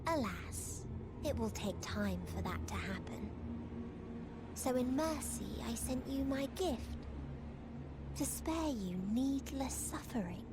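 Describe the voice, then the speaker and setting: A female otherworldly being speaks slowly and formally, full of serene despair. She is solemn and set on ending all life, like a video game villain, with music clearly playing behind her. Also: on the high side, expressive. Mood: serene, fatalistic. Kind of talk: dramatic video game acting.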